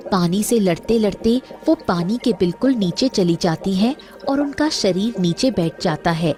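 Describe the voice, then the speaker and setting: A woman's voice: mid-range voice, expressive, normal speed, darkish tone, soft background music. A woman narrating a scripted cartoon story as its storyteller.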